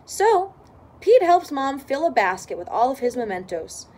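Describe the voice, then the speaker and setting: A woman reading a children's storybook aloud in expressive narration. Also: sits high, normal speed. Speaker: storybook reader.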